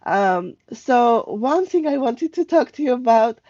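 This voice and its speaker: Mellow woman livestreaming. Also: fairly high pitch, lively intonation, no room sound, dull audio. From Armenia. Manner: halting, rambling, conversational. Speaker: live stream host, travel tips. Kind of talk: casual unscripted livestream chat. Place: at home, indoors.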